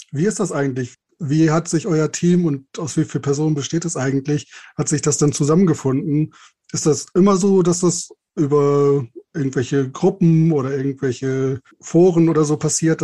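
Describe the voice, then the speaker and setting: A man's voice: a little high, mellow. A man hosting a podcast, introducing guests and putting questions to them in an interview style.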